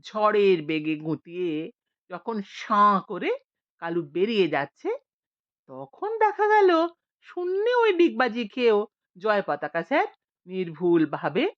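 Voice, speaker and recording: A woman narrating a comic story expressively, with theatrical emphasis and dramatic pauses. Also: medium pitch, big pitch swings, highs cut off.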